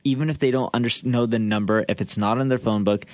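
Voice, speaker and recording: A man's voice: mid-range voice, fairly even intonation, fast talker, a little mellow, no room sound. Man podcasting in a dry, close sound. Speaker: podcast co-host. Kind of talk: casual instructional talk.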